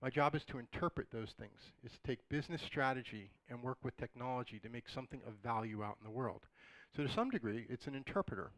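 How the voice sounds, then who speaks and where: A middle-aged man talks through a conference lecture in a relaxed way, dropping joking asides to the audience. His voice is slightly raspy and sits at an ordinary pitch. The sound is dry and close. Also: fast, no echo, rough recording. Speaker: conference speaker. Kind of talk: conversational lecture. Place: dark auditorium, in front of a projected slide.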